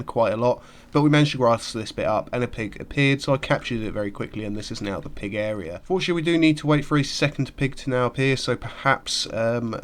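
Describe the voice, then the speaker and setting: A man's voice: mid-range voice, slight background noise. A man giving casual, rambling commentary while playing a game, sounding nasal from a cold. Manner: chatty, rambling with fillers. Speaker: gaming YouTuber. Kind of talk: casual let's-play commentary.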